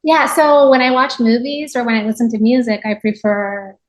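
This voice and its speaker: A woman's voice: a little high, steady tone, moderate pace. A woman from Japan speaking as an interview guest, giving a reflective, conversational personal account with frequent small asides. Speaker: podcast guest, yoga teacher.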